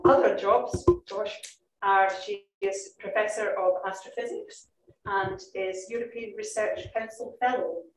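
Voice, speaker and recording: A woman hosting a society meeting, introducing a guest speaker in a halting manner with many ums. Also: medium pitch, darkish tone. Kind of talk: formal introduction. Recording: distant echoey hall, faint noise.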